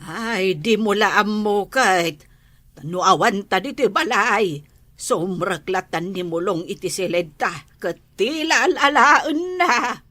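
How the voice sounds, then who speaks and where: A woman's voice: mid-range voice, lively intonation, takes it slowly, bright, forceful tone, no room sound, full character voice. A woman with an Ilocano accent playing a long-suffering, plaintive village mother in a comedy drama.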